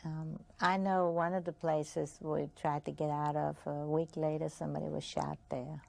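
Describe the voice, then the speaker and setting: Older woman, Viennese German accent, German first language, very low gravelly voice, soft-spoken and reflective. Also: flat delivery, moderate pace. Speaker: interviewee. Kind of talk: oral history interview.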